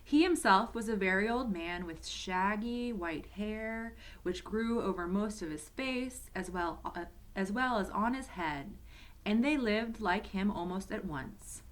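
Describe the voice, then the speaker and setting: A woman's voice: mid-range voice, a little slow. A woman reading a children's story aloud as a storyteller, expressively and addressed to young listeners.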